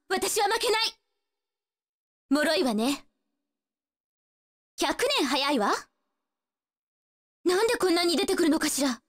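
A woman's voice: extremely high pitch, a bit bright, in a large hall, full character voice. A woman voicing a spirited, cocky young female martial-arts fighter in a fighting game, brash, taunting and determined. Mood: determined, challenging. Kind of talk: Japanese fighting-game voice acting, short battle lines.

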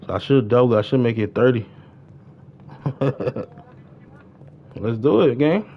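A man's voice: medium pitch, lively intonation, takes it slowly, muffled recording, a little hiss or hum. A man livestreaming a game, chatting casually in slang and often repeating his phrases. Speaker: livestreamer and gamer. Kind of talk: casual gaming livestream banter.

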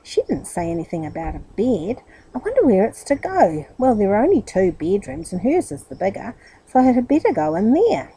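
A woman's voice: mid-range voice, big pitch swings, fairly quick, mellow, breathy edge, rough recording. A woman voicing a silly, puzzled nephew in a children's story, bewildered and protesting.

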